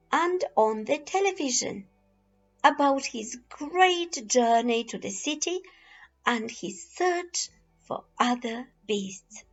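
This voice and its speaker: Female storyteller, children's picture book, creaky husky voice, deliberate dramatic delivery, music behind. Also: on the high side. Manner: deliberate, dramatic pauses and drawn-out repeated phrases. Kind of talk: slow expressive storybook narration. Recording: dull lo-fi recording with music behind.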